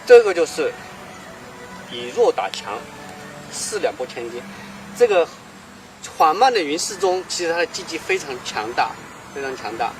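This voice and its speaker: A man's voice: a little high, very animated, measured pace, pauses often, faint music behind, low-quality recording. A man demonstrating martial arts self-defense, emphatic and asking rhetorical questions. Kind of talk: demonstration explanation. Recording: outdoor clip with traffic noise.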